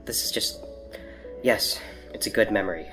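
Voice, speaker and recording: Reserved, low-voiced male researcher. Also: normal speed, sharp tone, soft background music, low-quality recording. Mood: guarded, anxious. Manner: formal, precise, hesitant. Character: reserved, pedantic male researcher, anxious and guarded. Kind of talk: naturalistic audio drama dialogue.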